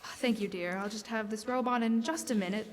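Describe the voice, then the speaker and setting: Woman acting flustered motherly shopper, high voice, chatty, stagey radio-play delivery, reverberant hall. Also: fairly quick, lightly acted. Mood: chatty, pleasant. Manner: theatrical, motherly, fretful. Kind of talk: live stage radio drama in classic style. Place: stage in a hall, live performance. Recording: echoey stage hall recording.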